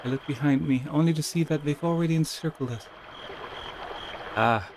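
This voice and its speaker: A man's voice: on the high side, normal speed, background noise. A man reading a text game aloud as theatrical narration, stumbling and rereading now and then, with casual asides. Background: music.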